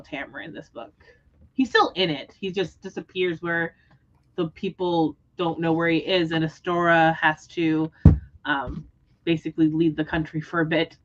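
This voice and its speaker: A woman hosting a casual book discussion livestream, talking in an animated, expressive way. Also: fairly low pitch, muffled recording. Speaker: host of book readalong livestream.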